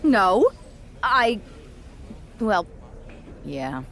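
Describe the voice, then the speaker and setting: A woman's voice: mid-range voice, very animated, very slow, a bit bright, slight background noise, heavily acted. A woman playing an earnest, determined young wrestler recruiting a team in a video game cutscene. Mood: eager, awkward. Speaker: pro wrestler character.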